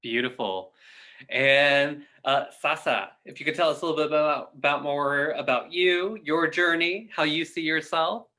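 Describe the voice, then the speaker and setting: A man's voice: a little high, moderate pace, pushed and bright. A man moderating a panel discussion on a video call. He invites each guest to speak in a friendly, encouraging way.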